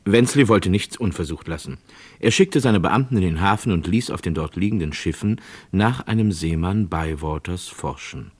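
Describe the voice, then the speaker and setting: A man narrating a scripted true-crime radio drama in a measured, matter-of-fact way. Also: low-pitched, breathy edge.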